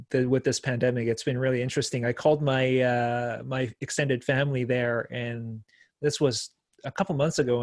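Male guest in his thirties from South Korea, easygoing and quick to joke, dry sound. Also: mid-range voice, no echo. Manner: joking, laughs easily, conversational. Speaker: pastor and apologist. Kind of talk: casual interview guest.

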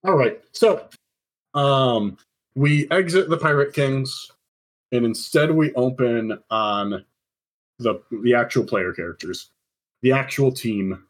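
A man's voice: mid-range voice, takes it slowly, rough recording. A man running a tabletop game, narrating casually and informally. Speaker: game master.

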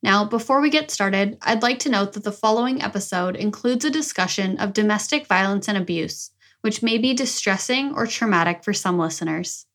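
A woman's voice: mid-range voice, moderate pace, few pauses. A woman hosting a podcast interview, reading a scripted introduction and then chatting upbeat with her guest. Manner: upbeat, warm thanking, reads a content warning. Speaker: podcast host.

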